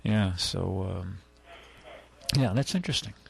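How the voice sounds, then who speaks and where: A man's voice: sits low, a little slow, creaky. A man hosting a radio car show, chatting casually about old cars in a rambling way with plenty of fillers and restarts. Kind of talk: casual on-air car chat. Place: radio studio.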